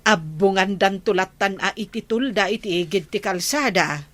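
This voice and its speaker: A woman's voice: mid-range voice, moderate pace, fairly bright tone, no echo, close to an everyday voice. A woman playing a scolding, exasperated village wife in an Ilocano radio comedy, nagging emphatically with an Ilocano Filipino accent. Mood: grumbling.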